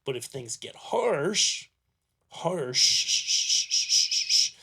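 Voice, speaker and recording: A man's voice: a little high, sing-song, drawn-out words, bright, forceful tone, husky. A man presenting a casual hands-on tutorial, explaining conversationally and often checking in with the listener as he goes.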